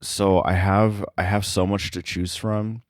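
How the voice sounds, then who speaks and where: A man speaking as an interview guest in casual chat, halting and informal, thinking his way through each phrase. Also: low voice, little pitch movement, no echo, studio quality. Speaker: interview guest, sound editor.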